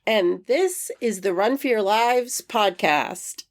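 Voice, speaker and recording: A woman's voice: mid-range voice, slow pace. Nasal-voiced woman podcast co-host. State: nasal voice from a cold. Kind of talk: casual chat.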